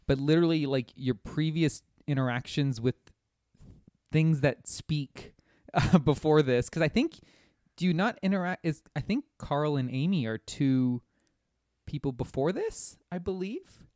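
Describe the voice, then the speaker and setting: A man's voice: fairly high pitch, lively intonation, measured pace, darkish tone, no room sound, clean studio sound. A man in casual podcast conversation, recounting things in detail as he talks about a video game. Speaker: podcast guest or host discussing a video game. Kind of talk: casual chat.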